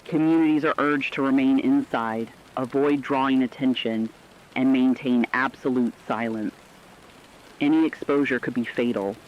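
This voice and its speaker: A man's voice: medium pitch, steady tone, moderate pace, close to an everyday voice. Serious man playing a reporter, dull lo-fi radio sound. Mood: grave, urgent. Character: grave radio news reporter announcing a global catastrophe. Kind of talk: scripted emergency news broadcast.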